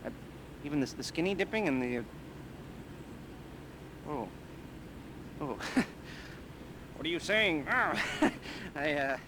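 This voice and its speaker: A nerdy, neurotic heist planner from a crime video game. His voice sits high for a man and swings wildly up and down. He rambles in a halting, sardonic way, over loud background noise. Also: lively intonation. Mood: embarrassed, flustered. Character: nerdy, neurotic, excitable criminal heist mastermind with a cane. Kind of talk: video game cutscene and mission dialogue acting.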